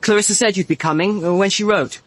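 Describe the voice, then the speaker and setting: An actor playing a shy, self-doubting British schoolboy of seventeen with a hesitant manner in a radio drama. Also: male voice, high-pitched, fast talker. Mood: shy, anxious. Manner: hesitant, diffident. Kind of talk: full-cast radio drama acting.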